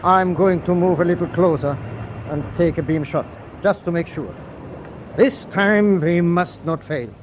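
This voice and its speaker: Determined high-voiced U-boat captain, played by a man. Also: noisy background. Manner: commanding, deliberate. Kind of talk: radio drama acting.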